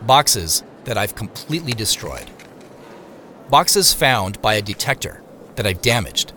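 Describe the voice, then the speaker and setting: An actor playing a weary, wry man who narrates his own secret quest in an audio drama, in a measured way. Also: fairly low pitch, big pitch swings, sharp tone, a little hiss or hum, exaggerated voice. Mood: regretful. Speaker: narrator and lead character. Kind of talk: first-person audio drama narration and dialogue.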